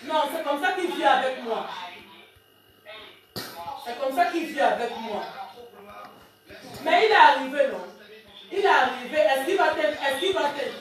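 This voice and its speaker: High-voiced woman talking from off-mic. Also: lively intonation, moderate pace, long pauses, low-quality recording.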